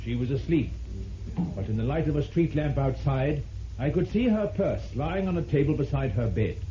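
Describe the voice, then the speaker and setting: A man plays a male crown prosecutor, measured and declamatory, with a very dark, mellow voice. The old radio recording is muffled with faint hiss. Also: mid-range voice, lively intonation, lightly acted. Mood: formal, grave. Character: formal male crown prosecutor reading a confession. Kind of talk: formal reading aloud in vintage radio drama.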